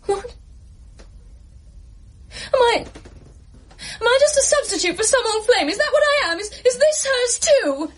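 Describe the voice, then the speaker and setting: High-voiced, fragile despairing woman. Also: animated, a little slow, long pauses. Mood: hurt, accusing. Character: fragile, abandoned, despairing woman, obsessive in love. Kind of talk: radio drama acting.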